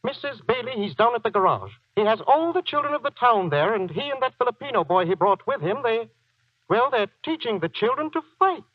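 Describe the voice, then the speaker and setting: A man's voice: very high-pitched, sing-song, brisk, dry recording, exaggerated voice. An actor playing a prim, embarrassed townsman, formal and hesitant, in a 1940s radio drama. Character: prim, embarrassed, principled townsman lodging a complaint. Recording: tinny, band-limited 1940s radio recording.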